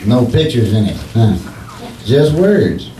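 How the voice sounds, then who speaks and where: A man's voice: medium pitch, big pitch swings, takes it slowly, mellow. A man plays an eager, slangy comic husband who puts on pompous airs. His delivery swings widely up and down in old-time radio comedy style. He is heard at a distance in a roomy live theatre. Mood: blustering. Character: folksy, blustering husband eager to improve his grammar. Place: theatre stage, live performance. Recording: roomy live stage, distant mic, faint noise.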